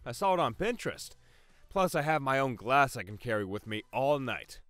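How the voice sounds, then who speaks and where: Quirky chatty male partygoer, acted by a man, hugely varied pitch, bright tone, audio drama. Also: big pitch swings, normal speed, dry recording, soft background music. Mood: proudly explaining. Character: quirky partygoer dressed as a mad scientist.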